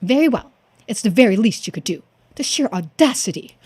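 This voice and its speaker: A woman's voice: fairly high pitch, animated, fairly quick, darkish tone, slightly rough, no room sound. A woman voicing a haughty, easily offended businessman with pompous, formal manners in a video game. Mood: indignant, haughty. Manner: pompous, formal, indignant phrasing. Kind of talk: video game character voice-over.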